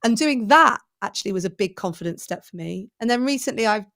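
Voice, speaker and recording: A woman's voice: medium pitch, animated, fairly quick, fairly bright tone. Enthusiastic woman thinking aloud. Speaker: presenting coach, guest. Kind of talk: conversational podcast interview.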